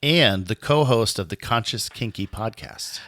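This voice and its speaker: Upbeat low-voiced male podcast host. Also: lively intonation, unhurried, fairly bright tone, no echo. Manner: upbeat, enthusiastic. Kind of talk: casual podcast hosting.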